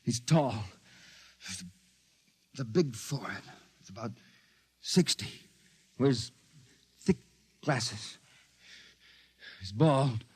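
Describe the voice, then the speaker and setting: An actor playing a beleaguered, stubborn investigator with halting, melodramatic old-time radio delivery. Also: male voice, medium pitch, animated, darkish tone, slightly rough, heavily acted. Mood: pained, giving in. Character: beleaguered male investigator, groggy, frightened yet stubborn. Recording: noisy old radio drama recording.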